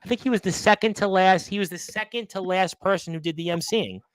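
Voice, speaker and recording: A man's voice: high-pitched, fast talker, no room sound. A man hosting a wrestling talk show and interviewing a guest, rambling and effusive, often repeating himself. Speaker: radio show host.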